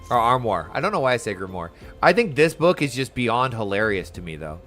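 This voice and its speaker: A man's voice: medium pitch, very animated, fairly quick, fairly bright tone. Man chatting enthusiastically while gaming, music behind. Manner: enthusiastic, slangy. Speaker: game streamer. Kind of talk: casual chat while playing a game. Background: video game music.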